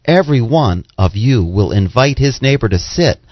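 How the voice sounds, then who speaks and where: A man's voice: medium pitch, lively intonation, moderate pace, no echo. Male scripture reader, mid-range voice, formal recitation, dry and slightly lo-fi sound. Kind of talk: scripted Bible reading.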